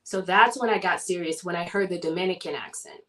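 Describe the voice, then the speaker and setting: A woman from the southern United States, a guest in a casual interview, telling her story in an animated way and laughing often. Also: on the low side, a little fast.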